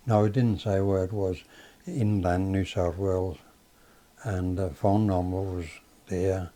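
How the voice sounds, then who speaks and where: An older man with a Danish accent, a native Danish speaker, recalling his life in a slow, halting way with many pauses and fillers. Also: sits low, mellow. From Denmark. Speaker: father being interviewed. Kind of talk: casual reminiscing interview.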